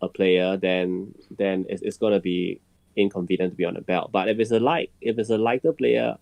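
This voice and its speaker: A man chatting casually as a podcast panelist, haltingly repeating words as he makes his point. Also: sits low, steady tone, gravelly, dry recording, dull audio. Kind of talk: casual roundtable chat.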